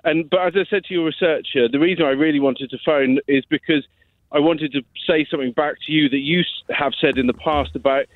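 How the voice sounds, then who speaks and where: Guy phoning into a radio show, highish voice, halting and apologetic, thin phone sound. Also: dry recording. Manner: hesitant, apologetic, repeats himself. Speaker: phone-in caller. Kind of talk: casual, earnest phone-in conversation. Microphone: telephone. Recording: tinny narrow-band phone line.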